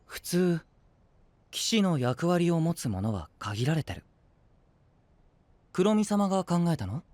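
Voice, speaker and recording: A man playing a gentle, curious, polite young knight in an anime voice drama, speaking softly with calm teasing. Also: on the high side, slow, no echo. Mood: calm, probing.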